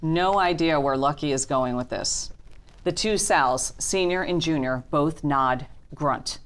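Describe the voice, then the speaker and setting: A woman's voice: low-pitched, measured pace. A woman reading scene directions as the narrator of a scripted table read.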